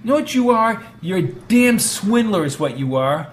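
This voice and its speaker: A man voicing a brash, impatient, outraged man in an audio story, with a gruff, demanding manner. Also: high-pitched, animated, moderate pace, fairly bright tone, a little hiss or hum, pitched above the performer's own voice.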